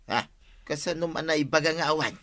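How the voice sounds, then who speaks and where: A man's voice: fairly high pitch, fairly quick, pushed and bright. A man with an Ilocano accent playing a teasing village friend in an audio drama. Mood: curious.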